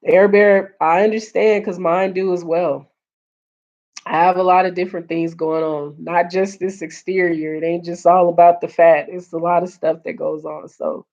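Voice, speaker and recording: Earnest woman on a live stream, kinda low voice, hoarse, dull muffled audio. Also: darkish tone. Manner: earnest, repeats points, greets chat viewers by name. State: hoarse, losing her voice. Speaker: livestream host. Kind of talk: casual live-stream chat.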